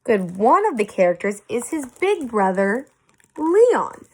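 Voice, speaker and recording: A woman reading a picture book aloud as a teacher, in a deliberate, expressive way meant for young children. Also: fairly high pitch, dry recording. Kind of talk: expressive storybook read-aloud with questions to children.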